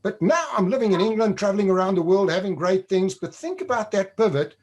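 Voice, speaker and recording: Upbeat man, high voice. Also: fairly quick, slight background noise. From South Africa. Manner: upbeat, conversational, leads the guest with questions. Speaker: webinar host. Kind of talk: webinar presentation.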